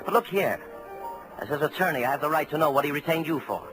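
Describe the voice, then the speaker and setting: A man's voice: on the high side, animated, quick pace, music in the background, close to an everyday voice. Man acting volatile, scheming radio-drama gangster. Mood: indignant, wary.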